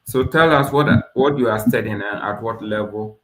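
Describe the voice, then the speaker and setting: Low-voiced man, echoey noisy line. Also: normal speed. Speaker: webinar host, mentor. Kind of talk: informal interviewing and hosting. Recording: echoey room, noisy online call, clicks.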